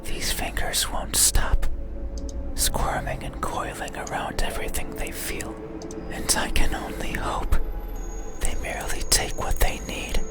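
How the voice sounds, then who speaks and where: A man playing an eerie midnight monster in a horror game, speaking poetically in a breathy whisper. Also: loud music behind the voice, natural voice. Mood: sorrowful, creepy. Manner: slow, deliberate, poetic. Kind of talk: horror visual novel monologue.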